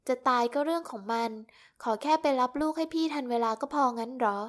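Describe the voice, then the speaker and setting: A woman's voice: a little high, fast, natural voice. A woman voicing an overworked young woman who apologizes meekly and pleads. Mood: ranting. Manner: pleading, apologetic, rising to outbursts. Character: harried young woman assistant, meek then bursting out.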